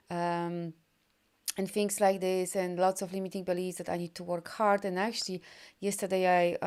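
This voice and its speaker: A woman's voice: on the low side, a little mellow, smooth. A woman from Poland, speaking English as a second language, giving an upbeat, rambling live session as an energy healer and coach, full of fillers and restarts. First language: Polish. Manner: upbeat and encouraging. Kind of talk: live video session reading viewer comments.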